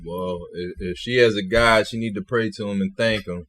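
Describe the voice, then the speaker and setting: A man's voice: fairly low pitch, fairly even intonation, normal speed, fairly bright tone. A man on a podcast panel debating casually, with slangy and profane wording.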